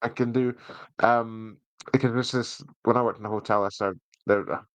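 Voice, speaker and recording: A man's voice: on the low side, fairly even intonation, moderate pace, dry recording. A man chatting casually and jokily as a podcast host. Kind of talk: casual bantering chat.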